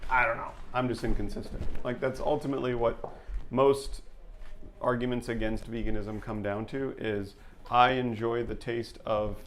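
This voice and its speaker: A man co-hosting a casual livestream, measured and reading viewer comments aloud. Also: medium pitch, steady tone, slight background noise. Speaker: livestream co-host.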